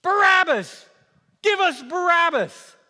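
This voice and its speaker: Angry accuser from a mob, done by male storyteller: shrill, loud, insistent, high pitch, stage monologue, long reverb. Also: sing-song, takes it slowly, exaggerated voice. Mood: screaming demand. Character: hostile, indignant priests and screaming mob of accusers. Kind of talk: quoted part in dramatic monologue. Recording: echoey hall with long reverb.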